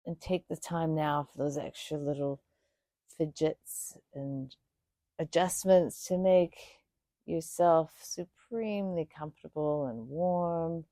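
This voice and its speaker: A woman guiding a meditation in a soothing, unhurried way, speaking in short phrases with pauses between them. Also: deep voice, dark tone, dry recording. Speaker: yoga nidra meditation guide. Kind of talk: guided meditation.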